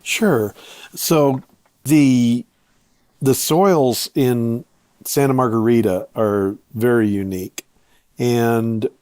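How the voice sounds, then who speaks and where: A man with a low, very mellow voice gives explanatory answers as an interview guest. He speaks in short, measured phrases, one step at a time. The sound is dry, with little room around it. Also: dry recording. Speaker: interview guest, winery owner and viticulturist.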